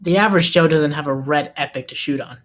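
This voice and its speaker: Man playing an exasperated, deadpan amateur cameraman. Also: on the high side, quick pace, natural voice. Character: exasperated male amateur cameraman, Bigfoot's practical friend. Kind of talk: comedic screenplay table read.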